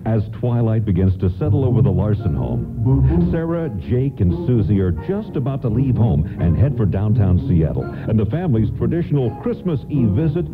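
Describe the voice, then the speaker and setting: A man's voice: fairly low pitch, sing-song, normal speed, music in the background. A man performing as a storytelling narrator and announcer in a scripted radio drama. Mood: measured, storytelling. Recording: narrow phone-like radio sound.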